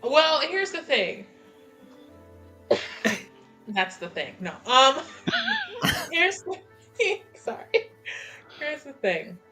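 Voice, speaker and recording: A woman's voice: high-pitched, huge pitch swings, drawn-out words, pauses often, sharp tone, soft background music. A woman playing a tabletop game, talking casually in a wry way with some swearing. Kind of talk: casual, animated game chat.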